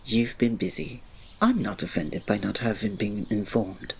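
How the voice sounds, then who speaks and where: Man acting a soft, slow-spoken devoted royal servant. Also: lowish voice, animated, full character voice. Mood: shy, tender. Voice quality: soft, breathy. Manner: soft, polite, formal, restrained, often dropping to a breathy murmur. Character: reserved, devoted male royal servant and shy writer. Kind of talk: ASMR romantic roleplay.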